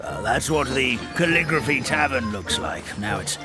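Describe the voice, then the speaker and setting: A man's voice: mid-range voice, sing-song, moderate pace, loud music behind the voice, natural voice. Man acting as a swooping, theatrical old forest grandpa. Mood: folksy, helpful. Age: older. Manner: theatrical, rambling, folksy. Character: forgetful old paper forest creature, dramatic, kindly grandpa. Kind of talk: animated fantasy game voice acting.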